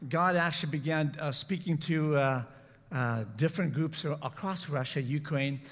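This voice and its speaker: A middle-aged man from San Francisco preaching as a guest in an informal, halting, conversational way, often correcting himself. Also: fairly high pitch, a bit bright. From San Francisco, United States. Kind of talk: informal church talk with personal testimony. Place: large room, church service. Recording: echoey hall with long reverb.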